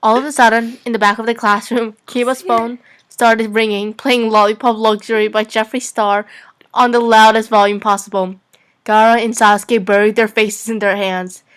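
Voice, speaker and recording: A woman's voice: a little high, little pitch movement, moderate pace, a bit bright, clean tone. Young woman reading fan fiction aloud. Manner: playful, stumbling over lines, giggly. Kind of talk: amateur dramatic reading of a story.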